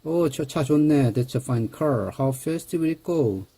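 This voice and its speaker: Mellow, husky-voiced male grammar lecturer. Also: on the high side, animated. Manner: explains step by step, reads English example sentences slowly.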